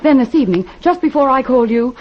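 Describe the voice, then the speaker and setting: A woman's voice: high-pitched, expressive, quick pace. A woman playing an anxious wife hiring a detective, in 1940s radio acting style. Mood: worried. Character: worried, anxious wife, detective's female client. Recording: dull, hissy vintage radio recording.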